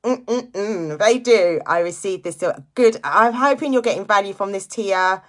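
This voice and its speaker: A woman's voice: mid-range voice, measured pace. A woman in her late forties giving a casual motivational live chat, speaking informally and directly to listeners and repeating key phrases for emphasis. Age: late 40s. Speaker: live-stream host, direct seller giving motivational talk.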